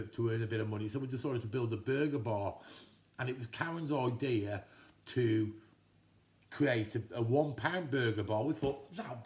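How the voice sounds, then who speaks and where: A man's voice: medium pitch, darkish tone. Chatty fifties man in an echoey kitchen. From England, Telford. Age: 50s. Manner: rambling and chatty, with frequent restarts. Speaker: vlogger answering viewer questions. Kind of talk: casual chat to camera. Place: home kitchen.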